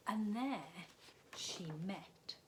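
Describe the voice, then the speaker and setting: A woman's voice: medium pitch, lively intonation, very slow, background noise. A woman, a primary teacher reading a story expressively to young children, with chatty asides.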